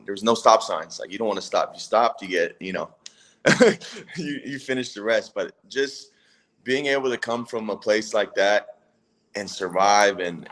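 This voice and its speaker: Low-voiced young man, laid-back and rambling. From Palo Alto area, California. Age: 20s. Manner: relaxed, rambling, many fillers and "if that makes sense". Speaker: guest, football player. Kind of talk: casual interview.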